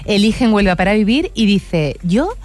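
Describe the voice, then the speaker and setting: A woman's voice: medium pitch, sing-song, moderate pace, darkish tone. A woman hosts a casual radio chat. She talks in a chatty way and laughs often. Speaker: radio host.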